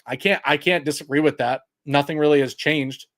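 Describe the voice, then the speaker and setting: Loud, exasperated male sports talk host. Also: on the high side, fairly even intonation, a little fast. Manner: loud projecting voice, heated and exasperated; reads stats aloud to chat. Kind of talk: post-game livestream commentary.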